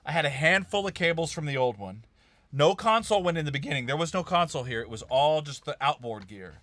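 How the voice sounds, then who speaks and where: A man's voice: fairly high pitch, lively intonation, quick pace, fairly bright tone, dry recording. A man from Kansas hosting a casual live-stream chat about recording studios, chatty and laughing at himself. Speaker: host.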